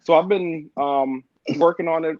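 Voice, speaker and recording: A middle-aged man from Jamaica, a guest on a casual podcast, telling stories in a relaxed way and often saying "man" and "like". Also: fairly high pitch, moderate pace, dry recording, muffled recording. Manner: relaxed storytelling with frequent "man" and "like".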